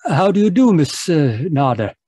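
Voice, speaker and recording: A man playing a genial, rambling, fussy gentleman doctor in a radio mystery, hesitant and proper, performed over a video call. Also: fairly high pitch, big pitch swings, normal speed, slightly rough, no room sound, clean studio sound. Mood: concerned, polite. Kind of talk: 1940s radio mystery acting.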